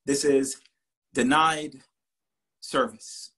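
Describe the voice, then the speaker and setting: A man's voice: medium pitch, steady tone, extremely slow, a bit bright, husky. Puerto Rican man telling a story. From Puerto Rico. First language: Spanish. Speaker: professor and poet. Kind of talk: storytelling talk to an audience.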